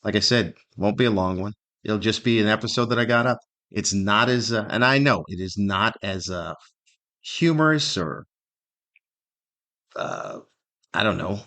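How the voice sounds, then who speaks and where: A man's voice: lowish voice, a bit bright. A middle-aged man hosting a solo podcast, giving humorous, opinionated commentary with a grumbling, incredulous manner full of rhetorical questions. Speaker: podcast host.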